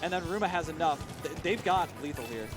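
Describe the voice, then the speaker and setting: A man casting a live esports match at a big venue, excitable and fast with his calls, his voice worn and hoarse from shouting. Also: a little high, over music. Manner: excitable, rapid play-by-play with exclamations. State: losing his voice. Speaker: esports commentator. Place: large tournament venue.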